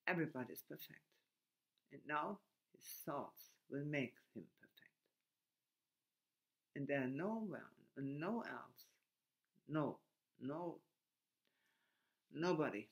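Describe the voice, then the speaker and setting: A man with a German accent talks slowly through a reflective monologue, stopping and restarting as he hunts for words. His English grammar is loose, and his voice sits a little higher than most men's. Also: fairly bright tone. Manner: halting, restarts often, broken grammar.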